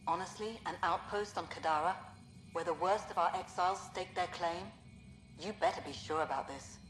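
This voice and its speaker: A woman's voice: lowish voice, moderate pace, fairly bright tone, echoey room, natural voice, rough recording. An actress playing a stern, pragmatic woman who directs a colony, speaking with dry skepticism. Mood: wry.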